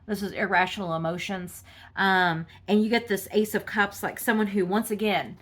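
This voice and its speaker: A woman reading tarot cards in a casual, halting monologue full of fillers, sounding congested from a cold. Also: medium pitch, steady tone, a bit bright. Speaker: tarot reader.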